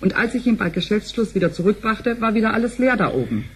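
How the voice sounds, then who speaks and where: A woman's voice: mid-range voice, expressive, fast, close to an everyday voice. A breezy, obliging female bank clerk voiced by an actress in a sci-fi radio play. She talks in a colloquial, gossipy way, with faint noise in the background. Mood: flustered.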